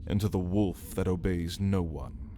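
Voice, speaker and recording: A man playing a deep, slow, menacing vampire. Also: dark tone, slight background noise. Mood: sly, persuasive. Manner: slow, theatrical, archaic wording, taunting. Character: brooding, menacing caged male vampire, once a count. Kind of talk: ASMR roleplay, dramatic monologue to listener.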